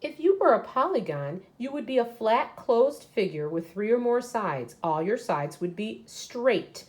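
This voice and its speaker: A woman's voice: fairly high pitch, sing-song, a little slow, darkish tone. A woman teacher reading a children's educational book aloud and explaining it to young pupils as she goes. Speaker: third grade teacher.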